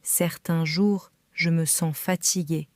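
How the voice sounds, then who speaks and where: A woman reading a simple story aloud for beginner learners, calm and careful with clearly spaced phrases. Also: low-pitched, slow, no room sound, studio quality. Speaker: French reader for learners. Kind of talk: slow scripted reading for beginners.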